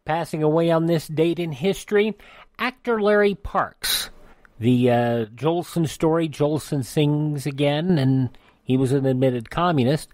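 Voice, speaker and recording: A man's voice: a little high, a little slow, darkish tone, dry recording. A man hosting a radio program, reading out dates from history in a conversational way with dry asides. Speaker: radio host.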